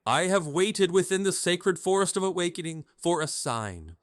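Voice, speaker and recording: A man's voice: high-pitched, expressive, normal speed, no room sound, studio quality. A man playing a solemn, resolute mystic leader in an audio drama, speaking with portentous gravity. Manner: grave, portentous.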